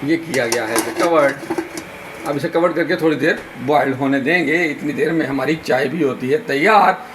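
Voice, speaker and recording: Hoarse man chatting through a home cooking vlog. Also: a little high, normal speed. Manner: chatty, addresses viewers as brother. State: hoarse, sore throat and fever. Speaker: vlogger. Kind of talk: casual cooking vlog narration. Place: home kitchen. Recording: noisy home video.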